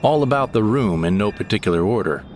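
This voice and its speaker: A man narrating a first-person audio drama in a wry, unhurried manner. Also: on the low side, lively intonation, darkish tone, slight background noise.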